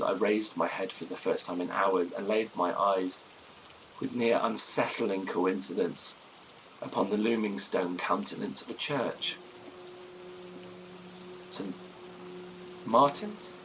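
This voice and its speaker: A haunted gentleman tells a gothic ghost story in a lowish voice. His phrasing is formal and grave, full of dread. Faint noise and ambience sit behind him. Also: poor audio. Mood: solemn, searching. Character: haunted, fearful gentleman recounting a ghostly night. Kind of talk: dramatic first-person gothic storytelling.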